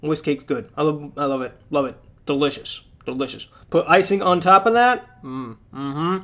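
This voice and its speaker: A man's voice: a little high, normal speed, fairly bright tone. A young man chatting casually about a mobile game, rambling with lots of fillers while his voice is going out. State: losing his voice.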